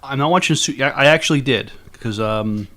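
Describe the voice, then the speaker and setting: A man with a middling pitch talks at a quick clip as a podcast co-host. His delivery is casual and conversational. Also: a bit bright. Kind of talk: casual chat.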